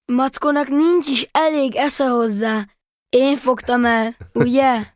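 A woman as a high-voiced, innocent little boy. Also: steady tone, dry recording, clean studio sound, natural voice. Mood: innocent, curious. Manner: simple, trusting questions. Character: innocent, helpful little boy listening to stories. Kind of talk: audio drama storybook acting.

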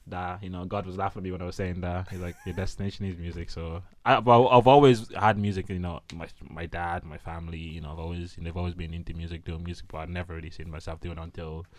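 A man's voice: low-pitched, fairly even intonation, fast talker, hardly any pauses. A man from the Congo, a band member being interviewed, talking casually and rambling with many fillers.

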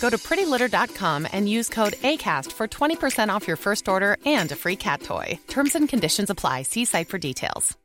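A woman's voice: mid-range voice, very animated, fairly quick, few pauses, a bit bright, faint music behind. A woman announcer reading the scripted offer and terms at the end of an advertisement. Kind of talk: scripted ad read.

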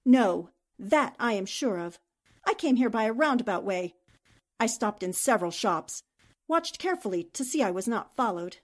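A woman acts out a defensive countess and impostor spy in 1940s radio style. Her voice is high and swoops theatrically, with an anxious, pleading manner. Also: lively intonation, normal speed. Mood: earnest. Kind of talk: 1940s radio drama acting.

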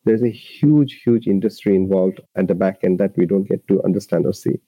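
A man's voice: low-pitched, fairly even intonation, a little fast, slightly rough, no room sound, muffled recording. A man from Bangladesh, speaking as a labour rights advocate and guest, giving earnest, explanatory interview answers with frequent restarts.

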